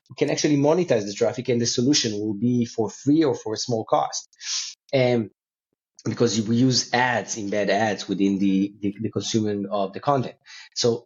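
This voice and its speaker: A man speaking as a company founder being interviewed, answering conversationally with non-native English phrasing. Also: mid-range voice. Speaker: company co-founder and CEO, podcast guest. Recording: slightly dull, band-limited audio.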